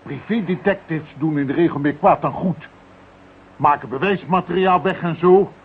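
Radio drama commissioner male actor: lightly high voice, formal, firm, courteous, tinny narrow-band sound. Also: natural voice. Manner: measured, formal, authoritative. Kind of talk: radio play acting. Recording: thin band-limited radio drama recording, slight noise.

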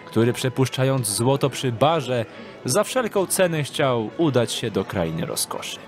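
A man's voice: medium pitch, lively intonation, a little slow. Male audio drama narrator over faint music. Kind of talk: scripted dramatic storytelling narration. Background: background music.

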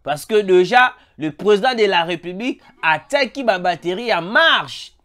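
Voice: mid-range voice, normal speed. An online news commentator with a Congolese French accent and a head cold, animatedly addressing viewers in a voice-over. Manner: animated, repeatedly calls out to viewers, mixes in Lingala. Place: voice-over over a still image.

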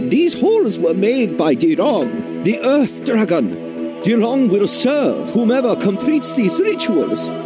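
A man's voice: very high voice, heavily acted. A man voicing a meek, frightened elderly monk in a video game, pleading and deferential. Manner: pleading, deferential, drawn-out delivery. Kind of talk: video game cutscene acting. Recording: background music.

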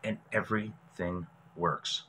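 A man's voice: low voice, fairly even intonation, slow, very noisy. A man in his forties, a show host giving a casual how-to tutorial in a chatty, folksy way, speaking directly to viewers. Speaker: host of a prepping radio show. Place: small indoor room at a desk.

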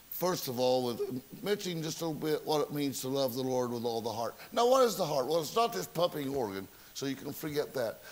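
A man's voice: on the high side, a bit bright. A man preaching a sermon, telling folksy stories and asking the congregation rhetorical questions. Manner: folksy storytelling with rhetorical questions, self-mocking. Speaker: pastor. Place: church sanctuary.

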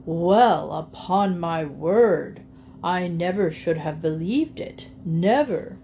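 A woman's voice: lowish voice, slow, a little mellow, slight background noise. A woman voicing an ancient, sly male alligator who speaks with false politeness. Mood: astonished.

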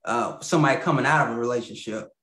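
A man's voice: mid-range voice, fast, fairly bright tone. A man in his thirties telling a personal story as a guest on a video call, rambling with fillers and restarts and laughing mid-sentence. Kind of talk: casual storytelling. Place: home room. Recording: roomy video call audio.

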